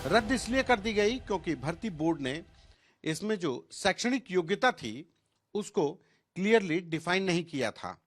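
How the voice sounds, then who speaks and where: Male reporter voicing a news package, voice a bit on the high side. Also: expressive, normal speed. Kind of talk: scripted news report narration.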